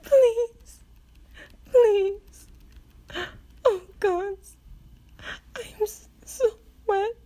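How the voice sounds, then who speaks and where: A woman playing a proud, distressed adult demigoddess in an audio roleplay, with halting, breathy exclamations. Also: extremely high pitch, warm tone, heavily acted. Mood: distressed, pleading.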